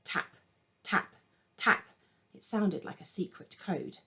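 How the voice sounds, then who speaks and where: A woman author reading from her own children's book in an expressive storytelling style. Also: on the low side, takes it slowly, vocal fry, slightly rough.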